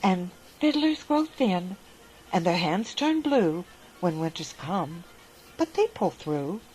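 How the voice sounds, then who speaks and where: A woman reading a rhyming children's story aloud in a gentle, sing-song manner. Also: lowish voice, very animated, slow, a little hiss or hum, rough recording. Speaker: narrator.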